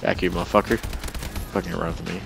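Brash young male gamer, very low voice, quick talker, game music and gunfire behind. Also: fairly even intonation, gravelly. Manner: brash, swearing, teasing. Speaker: channel host playing online shooter. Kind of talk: crude casual gaming chat.

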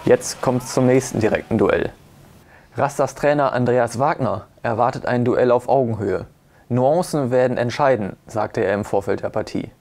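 A man's voice: medium pitch, normal speed, darkish tone. A man in his thirties presenting a scripted sports news report. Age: 30s. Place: newspaper office, indoors.

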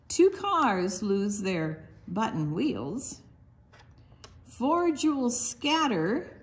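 An older woman reads a picture book aloud for young children. Her pace is extremely slow and deliberate, and her pitch swings widely in a sing-song storytime delivery. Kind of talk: expressive children's read-aloud with asides.

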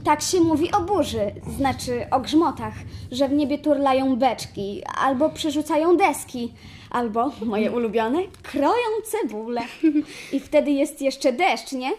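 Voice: high-pitched, expressive, a little slow, slight background noise. A performer playing a cheeky, curious young boy, eager and teasing, in a children's audio drama. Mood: teasing, knowing.

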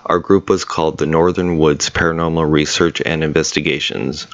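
A man giving a measured, serious scripted voice-over narration about a local ghost story. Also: a bass voice, level tone, no echo, highs cut off. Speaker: paranormal investigator narrator.

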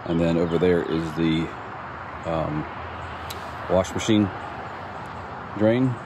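A man casually walking through his home's construction site, explaining the plumbing in a relaxed, plain-spoken way with plenty of ums. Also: sits low, takes it slowly. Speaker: homeowner showing his build. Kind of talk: casual walkthrough narration. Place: outdoors at a building site. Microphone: phone camera held while walking. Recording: outdoor phone audio with clear background noise.

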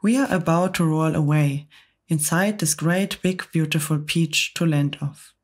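A woman voicing a kind, gentle female ladybird in a storybook reading, with a soft, motherly manner. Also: sits low, unhurried, breathy edge. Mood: reassuring.

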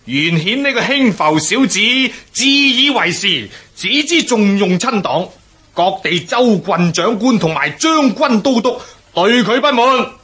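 An actor playing a boastful, bloodthirsty rebel warlord in a Cantonese historical radio drama, declaiming and commanding with a Hong Kong accent. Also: male voice, high-pitched, sing-song, unhurried, sharp tone, exaggerated voice. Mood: scornful, plotting. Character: boastful, bloodthirsty male rebel warlord rallying his followers.

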